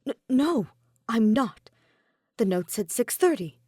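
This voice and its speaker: A woman voicing a shy, modest teenage boy detective with a quiet, hesitant manner, in a fan fiction audiobook. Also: fairly high pitch, sing-song, takes it slowly, slightly rough, dry recording, studio quality, exaggerated voice. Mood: earnest, flustered.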